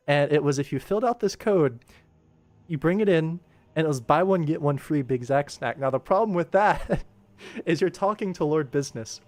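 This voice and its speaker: A man's voice: fairly high pitch, darkish tone, no echo. A young American man talking casually and enthusiastically on a livestream, emphatic and given to going off on tangents. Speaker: livestream host.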